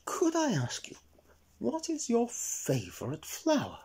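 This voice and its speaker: Man doing a polite, breathy little elf. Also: very high-pitched, wildly swooping pitch, takes it slowly, a little mellow, voice pushed up, heavily acted. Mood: politely asking. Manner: tentative, courteous, muttering to himself. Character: polite, curious, earnest male garden elf.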